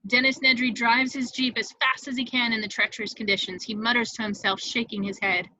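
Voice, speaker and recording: A woman narrates stage directions for a script read with vivid, dramatic storytelling. Her voice is fairly high and very bright. The recording is close and dry. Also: flat delivery, fairly quick, dry recording, dull audio.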